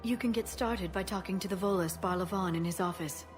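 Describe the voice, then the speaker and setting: A woman's voice: medium pitch, fairly quick, natural voice. A woman playing a composed, businesslike military officer in a video game, serious in manner. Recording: music under the dialogue.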